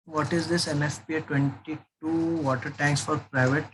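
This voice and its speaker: An Indian-accented man with a slightly high voice works through a technical document like an instructor. His delivery is informal and halting, circling back over points as he explains. There is some faint noise in the recording. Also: steady tone. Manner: halting, repetitive, explanatory, with checking tags.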